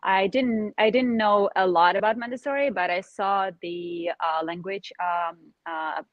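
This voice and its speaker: A woman's voice: medium pitch, fairly even intonation, a little slow, no echo, lo-fi sound. A woman whose native languages are Ukrainian and Russian, a Montessori facilitator speaking as a guest over a video call, hesitant with many fillers. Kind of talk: conversational interview.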